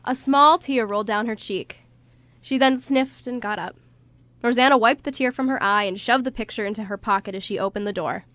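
A woman's voice: on the high side, brisk, a bit bright, no room sound. A woman reading scripted story narration for a radio play.

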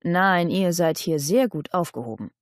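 A woman's voice: on the low side, animated, moderate pace, dry recording, clean studio sound, lightly acted. A woman voicing a vain, courtly nobleman with a polite, condescending manner in an audiobook. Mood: smug.

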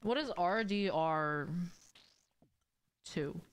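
A woman's voice: lowish voice, expressive, drawn-out words, clear, resonant tone. A young adult woman chatting casually on a livestream while gaming, speaking in short, informal, slangy phrases. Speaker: game streamer. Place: small indoor room at home.

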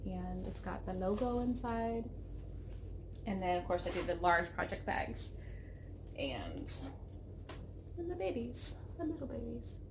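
A woman in her thirties chats at her desk webcam in a home craft room, her voice slightly froggy. She rambles and cuts herself off mid-thought in a mid-pitched, easygoing way. A faint hum of room ambience sits under the talk. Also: big pitch swings, measured pace, long pauses. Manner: rambling, self-interrupting, chatty. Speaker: knitting podcast host. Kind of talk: casual chat.

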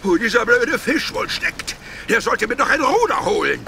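An actor playing a whiny, grumbling robber who laments and exclaims in a children's audio drama. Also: male voice, very high-pitched, very animated, normal speed, gravelly, sharp tone, a little hiss or hum, heavily acted. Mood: shivering, grumpy.

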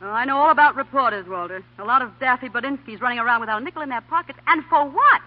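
An actress playing a sharp-tongued, independent star reporter in a 1940s radio comedy, sassy, wisecracking and dramatic. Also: female voice, sits high, animated, racing. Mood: indignant. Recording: dull 1940 radio broadcast.

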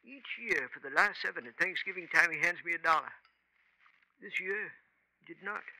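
An actor playing a humble, worried janitor in a 1950s radio drama, hesitant and brooding. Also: male voice, a little high, moderate pace, creaky, pushed and bright, heavily acted, poor audio. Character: uneasy, humble college janitor, husband. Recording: old 1950s radio recording.

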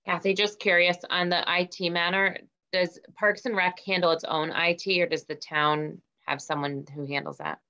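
A woman's voice: fairly low pitch, flat delivery, normal speed, sharp tone. A woman on a town board asking questions during a video call, with wry asides. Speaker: board member. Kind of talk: casual meeting discussion. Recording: dull video call audio.

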